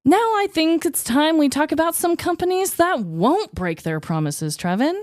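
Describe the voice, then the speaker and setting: Animated woman podcaster, lively pitch. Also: normal speed. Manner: animated, expressive storytelling. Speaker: podcast co-host. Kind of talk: casual comedic podcast chat.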